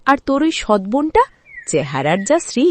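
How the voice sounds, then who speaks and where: A woman as a gossipy, animated village auntie. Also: mid-range voice, normal speed, close to an everyday voice. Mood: pitying, gossipy. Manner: chatty, pitying, outspoken. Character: sympathetic, gossipy middle-aged village auntie. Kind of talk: Bengali cartoon dialogue.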